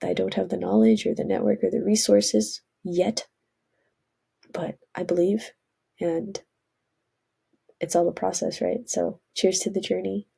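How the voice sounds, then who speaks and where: A woman's voice: on the low side, steady tone, a little slow, creaky, pauses often, dark tone, husky. A woman, congested from a cold, rambling earnestly in a casual spiritual vlog monologue. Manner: rambling, earnest, self-correcting. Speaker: vlogger.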